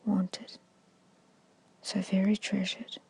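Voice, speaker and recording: A woman whispers a guided ASMR hypnosis meditation in a breathy, hushed voice, giving gentle, repetitive suggestions. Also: medium pitch, little pitch movement, slow pace.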